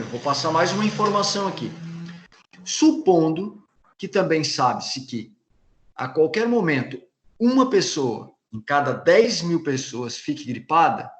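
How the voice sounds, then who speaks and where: A man's voice: a little high, a bit bright. A man with a Brazilian Portuguese accent giving a recorded university lecture, patiently repeating and rephrasing each point, often restarting his sentences. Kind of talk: recorded lecture explaining slides. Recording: echoey room.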